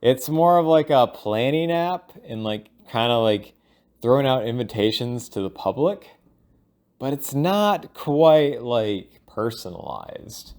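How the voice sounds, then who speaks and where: A man thinking aloud in a casual, rambling audio log, halting and informal, sometimes voicing imagined lines of dialogue. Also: mid-range voice, animated, darkish tone. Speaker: solo audio log host.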